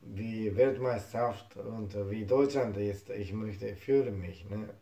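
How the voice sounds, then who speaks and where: A man from Japan who speaks German as a second language, answering interview questions in halting, broken German with many hesitations. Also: on the low side, vocal fry, warm tone, echoey room. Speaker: interview guest, football fan. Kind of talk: casual interview in non-native German.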